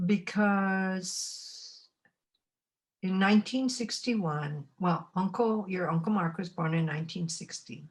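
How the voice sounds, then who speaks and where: An elderly woman in her eighties from Montana, telling her life story for an oral history archive. She speaks in an unhurried, reflective way and stops often to search for the right word. Her voice sits at a middling pitch for a woman. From Montana, United States. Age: 80s. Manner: unhurried, reflective, often pausing to find words.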